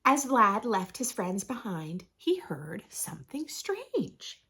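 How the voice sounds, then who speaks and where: A woman reading a picture book aloud to young children, expressively, with dramatic pauses and drawn-out emphasis. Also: medium pitch, big pitch swings, sharp tone, breathy edge. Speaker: children's storybook reader, host. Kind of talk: expressive read-aloud narration for preschoolers.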